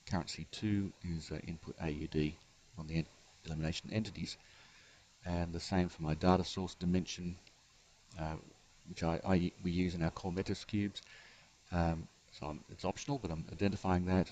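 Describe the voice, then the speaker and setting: Male software presenter, low voice, halting step-by-step walkthrough, unscripted screencast delivery. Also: a little hiss or hum. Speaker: software developer giving a demonstration. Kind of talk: unscripted screencast tutorial narration.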